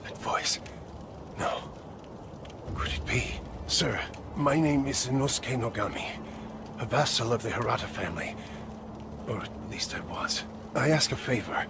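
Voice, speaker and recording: A man voicing a dying vassal who speaks faintly, haltingly and earnestly, in game audio with ambient noise. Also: medium pitch, vocal fry. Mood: weak, earnest.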